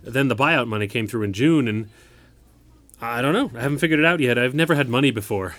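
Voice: mid-range voice, fast talker, fairly bright tone, no room sound, lightly acted. An actor as a quirky, rambling neighbor. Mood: chatty, earnest. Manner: rambling, naive, easily startled. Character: earnest, quirky, chatty neighbor accompanying an injured older woman. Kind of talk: audio comedy-drama acting.

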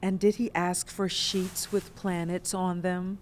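A woman's voice: on the low side, flat delivery, a little slow, darkish tone, slight background noise, close to an everyday voice. A woman acting a guarded young woman in an audio drama, with a dry, curt, sarcastic delivery.

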